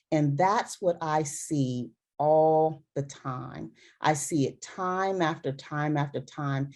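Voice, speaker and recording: A middle-aged woman with a low, mellow voice speaks in an earnest conversational way. She drives her points home with repetition and emphasis, like a physician answering questions after a talk. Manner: earnest, emphatic, repeats for stress. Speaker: dermatologist speaker.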